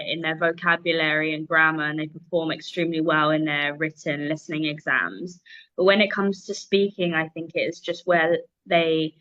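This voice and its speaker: A woman's voice: lowish voice, a bit bright, no room sound, highs cut off. A young woman with a London English accent, speaking articulately as a guest English teacher in a casual interview. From London, England.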